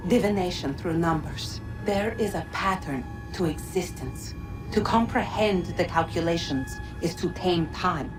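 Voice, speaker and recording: An actress playing a wise, ancient godlike woman in a video game, solemn and pleading in formal, measured words. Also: lowish voice, music in the background, lightly acted. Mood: solemn explanation. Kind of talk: dramatic video game acting.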